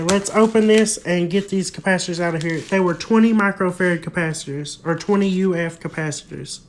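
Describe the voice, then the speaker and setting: A man's voice: high-pitched, slight background noise. A young man talks casually through a repair job, explaining it step by step. His voice is recorded close on a phone in a room at home. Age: young adult. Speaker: hobbyist vlogger. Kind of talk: casual hands-on repair demonstration. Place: indoor room at home, at a wooden table. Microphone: handheld phone close by.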